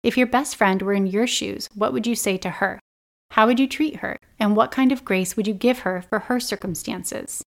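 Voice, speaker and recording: Earnest, slightly raspy woman podcaster. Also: mid-range voice, normal speed. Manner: earnest, gentle and encouraging. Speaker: podcast host. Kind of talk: personal self-help podcast monologue.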